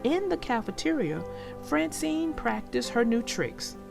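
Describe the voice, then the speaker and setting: A woman reads a children's story in a fairly high voice with some creak in it. Her delivery is expressive and takes its time. Music plays behind her. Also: a little slow. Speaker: channel host and children's story narrator. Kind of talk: read-aloud storybook narration for young children.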